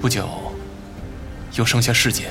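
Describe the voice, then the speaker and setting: Man acting guarded grieving son, audio drama, measured reflective delivery, medium pitch, prominent music. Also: steady tone, vocal fry, close to an everyday voice. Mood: somber, reflective. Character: grieving, guarded adult son of a wealthy family. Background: prominent background music.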